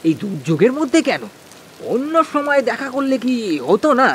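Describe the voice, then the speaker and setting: A man's voice: very high voice, big pitch swings, moderate pace, a little hiss or hum. A man voicing an anxious, stammering village farmer in a cartoon, with rustic speech. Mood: startled. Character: anxious, hard-working village farmer, timid husband. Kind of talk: cartoon voice acting.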